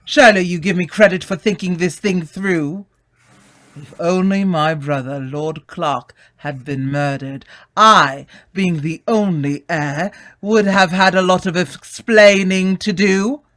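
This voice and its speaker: A man's voice: high voice, slow, a bit bright. A man acting a haughty, cunning heir and murderer in an old-time radio mystery, theatrical and condescending. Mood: smugly confessing.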